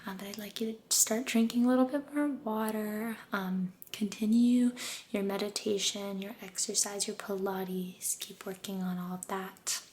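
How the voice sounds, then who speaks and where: A soft-spoken woman doing an ASMR spa role-play as an aesthetician, breathy and often whispering, gentle, soothing and encouraging. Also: mid-range voice, fairly even intonation, normal speed, a little hiss or hum. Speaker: ASMR creator playing a med spa aesthetician.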